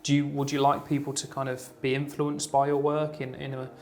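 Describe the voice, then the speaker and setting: A man's voice: mid-range voice, completely flat delivery, brisk. A man hosting a live webinar interview, asking friendly, loosely phrased questions with many fillers. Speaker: interviewer host.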